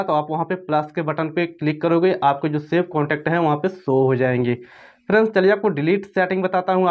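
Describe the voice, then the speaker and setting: A man narrating an informal step-by-step tutorial in repetitive, instructional phrasing. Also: fairly high pitch. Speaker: phone tutorial presenter. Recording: dull, lo-fi voice-over.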